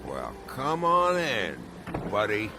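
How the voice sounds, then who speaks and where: Gravelly, bitter barfly. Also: male voice, fairly low pitch, huge pitch swings, slow pace, noisy background, full character voice. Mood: sardonic, intoxicated. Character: bitter, drunk, foul-mouthed barfly man, needling and volatile. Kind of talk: video game voice acting. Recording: music behind the voice.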